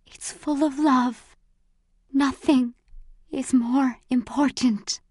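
Woman as a dying mother, frail, high, halting whispery speech, tender, audiobook drama. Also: fairly even intonation, darkish tone, no echo, clean studio sound. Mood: tender, weak.

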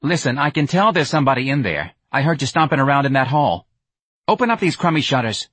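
A man voicing a blunt, intense young woman activist who talks in a flat, direct, slightly hostile way. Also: mid-range voice, quick pace, breathy edge, dry recording, voice pushed up. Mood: exasperated. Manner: brusque, nagging.

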